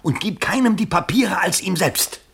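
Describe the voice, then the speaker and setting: An actor in a 1970s German radio play, playing a scheming, agitated bourgeois candidate with an urgent, commanding, theatrical delivery and a husky voice. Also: male voice, sits high, expressive, normal speed, a bit bright, heavily acted.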